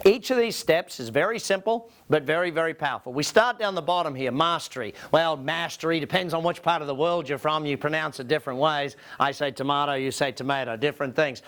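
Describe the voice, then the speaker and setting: Male business coach, Australian, voice on the higher side, emphatic seminar presenter who works the audience with questions. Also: fairly quick, few pauses, fairly bright tone. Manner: emphatic, rhetorical questions to listeners.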